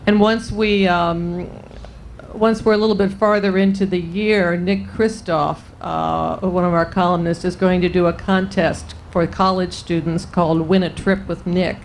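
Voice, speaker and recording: Brisk woman moderating a panel. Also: lowish voice, steady tone, slight background noise. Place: large tent at an outdoor event.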